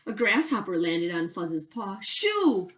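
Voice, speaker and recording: A woman reading a children's storybook aloud as a teacher, with deliberate, emphatic phrasing and expressive narration. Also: fairly low pitch.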